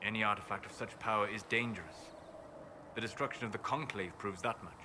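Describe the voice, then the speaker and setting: An actor voicing a calm, reserved elven apostate mage in a video game, speaking in a measured, formal, thoughtful way. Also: male voice, on the low side, natural voice. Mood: grave, measured. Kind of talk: video game dialogue acting. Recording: clear ambient noise behind game dialogue.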